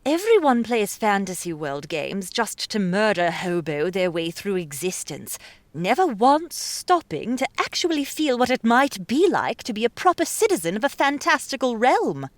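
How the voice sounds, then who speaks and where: A woman acts as a bold princess in a fantasy audiobook drama. She is theatrical and impatient, with flowery phrasing and a highly animated, swooping voice, recorded close and dry. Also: moderate pace, dry recording, natural voice. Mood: flowery, admiring. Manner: brash, theatrical, bossy.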